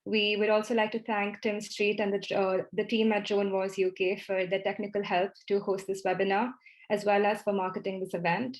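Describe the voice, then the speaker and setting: A woman moderating a webinar, giving formal remarks in a polite, measured way. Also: mid-range voice, flat delivery, poor audio.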